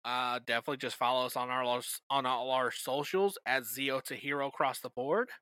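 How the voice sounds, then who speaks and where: Very bright, forceful man trading podcast banter. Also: mid-range voice, a little fast, smooth, no echo. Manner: joking, mock-insulting. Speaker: podcast co-host. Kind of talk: casual banter.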